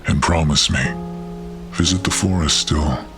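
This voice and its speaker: An ancient wolf spirit as acted by a man speaks in an extremely deep voice. The guardian is solemn yet gentle, with slow, measured words suited to a fantasy audio story. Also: music in the background. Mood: solemn, tender. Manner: slow, grave, measured delivery.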